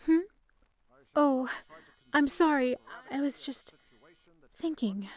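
High female voice, an actress as young 1930s switchboard operator, polite, earnest, composed, radio play style. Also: lively intonation, takes it slowly. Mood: worried. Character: young 1930s telephone operator, calm, earnest woman saving for college. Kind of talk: old-time radio drama acting.

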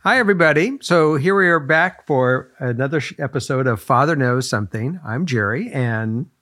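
A man's voice: mid-range voice, lively intonation, moderate pace, bright, forceful tone. A man co-hosting a casual podcast, chatting and joking with his co-host. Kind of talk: casual chat.

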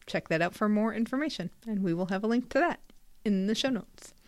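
A woman co-hosting a sports podcast in casual chat, chatty and quick to laugh. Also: medium pitch, lively intonation, no echo. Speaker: podcast co-host.

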